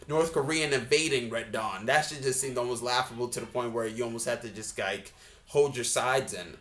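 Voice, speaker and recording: A man's voice: mid-range voice, fairly bright tone. Rambling, blunt guy giving his take. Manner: rambling, blunt, casually profane. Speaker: video game trailer reviewer. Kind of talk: casual off-the-cuff reaction commentary.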